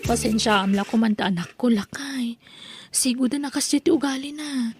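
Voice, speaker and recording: A woman's voice: on the high side, steady tone, moderate pace, darkish tone, husky, soft background music. A woman playing an apologetic mother who defends a lazy child, in an Ilocano comedy radio drama. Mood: apologetic, pleading.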